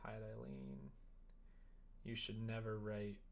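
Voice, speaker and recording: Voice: mid-range voice, lively intonation, slow, creaky. A livestream host thinking aloud casually while working at a computer, muttering and trailing off mid-thought. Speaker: livestream host coding a visual novel. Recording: noisy room, keyboard typing and clicks. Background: keyboard typing, mouse clicks.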